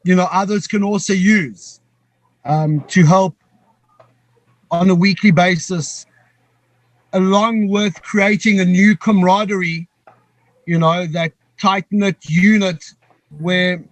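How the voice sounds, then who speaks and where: A middle-aged man from Africa, answering an interview in a halting, reflective way that often pauses to find words. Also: high voice, steady tone, dry recording, low-quality recording. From South Africa. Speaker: guest, co-founder of a veterans paddling program.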